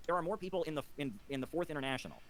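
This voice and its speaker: A man's voice: on the high side, very animated, very fast. A man in a played clip, arguing in a combative, lecturing way and dismissing his opponent. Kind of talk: argumentative monologue.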